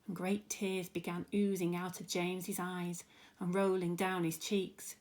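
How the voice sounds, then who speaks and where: A woman's voice: lowish voice, level tone, measured pace, a little mellow. A woman narrating a children's storybook expressively, like a teacher reading aloud.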